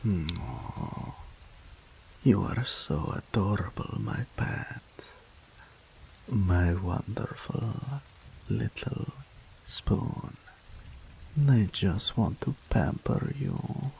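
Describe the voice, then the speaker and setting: Doting male incubus demon, played by a man, low gravelly voice, very slow, soft and whispery. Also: a little hiss or hum, heavily acted, poor audio. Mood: doting, tender. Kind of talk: ASMR roleplay audio.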